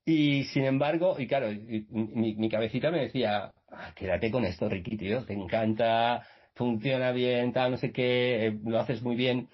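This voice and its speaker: Brief: male guest, husky voice, meandering anecdotes, muffled call audio. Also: mid-range voice, steady tone, moderate pace. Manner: rambling with many restarts and fillers. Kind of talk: casual storytelling in a radio interview.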